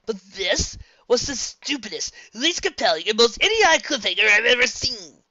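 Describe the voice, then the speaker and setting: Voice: medium pitch, big pitch swings, moderate pace, pushed and bright, no echo, pitched above the performer's own voice. An amateur reader voicing an exasperated, grumpy man complaining in a raised voice. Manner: exasperated, disgusted. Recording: dull lo-fi dry recording.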